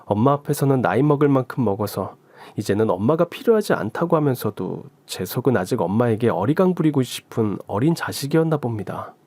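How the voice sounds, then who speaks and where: A man calmly reading a first-person story narration in a reflective tone. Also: medium pitch, a little fast, dry recording. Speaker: story reader.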